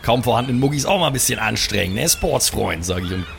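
A man's voice: mid-range voice, animated, quick pace, creaky, fairly bright tone, a little hiss or hum. A man improvising his character in a tabletop role-playing game, talking in an enthusiastic, teasing way.

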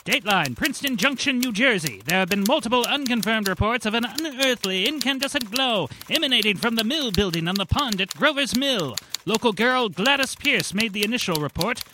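An actor playing a deadpan vintage radio newsman, reading a clipped bulletin in 1940s style. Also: extremely high pitch, brisk. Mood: deadpan, urgent. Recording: noisy, with typewriter clatter. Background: typewriter clicks.

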